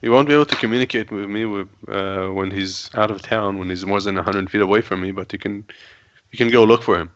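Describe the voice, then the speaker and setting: A man's voice: lowish voice, fairly even intonation, quick pace, no room sound, dull audio. A man playing a tabletop game, thinking aloud casually. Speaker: player.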